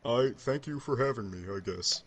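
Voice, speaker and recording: A man from Atlantic Canada with a deep voice, chatting as a podcast guest in a dry, deadpan way. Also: normal speed, slightly rough. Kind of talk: casual podcast chat. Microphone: computer microphone.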